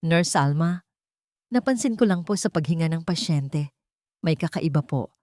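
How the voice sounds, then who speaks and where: A woman's voice: sits low, lively intonation, normal speed, darkish tone, no echo, clean studio sound. A woman playing a timid but determined young resident doctor in an audio drama, polite and hesitant. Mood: hesitant, earnest.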